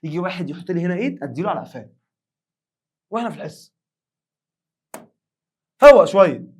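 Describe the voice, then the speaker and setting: An Egyptian man with a rough, worn-out voice runs a quick science revision. He talks fast and keeps the energy high, pitching up loudly whenever he acts out a student asking for help. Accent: Egyptian Arabic. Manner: animated, acts out student questions in a raised voice. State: hoarse. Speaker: science teacher. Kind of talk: lively exam revision lesson.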